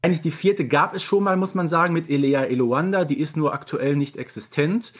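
A man's voice: fairly high pitch, brisk. A man talking casually as a podcast guest, explaining things in detail.

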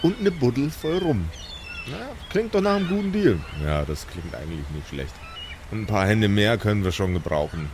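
A man's voice: lowish voice, sing-song, moderate pace, darkish tone, a little hiss or hum. A man improvising as an easygoing boat skipper in a tabletop game, casual and slangy. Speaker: player voicing a small-boat skipper. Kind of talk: improvised roleplay and scene description.

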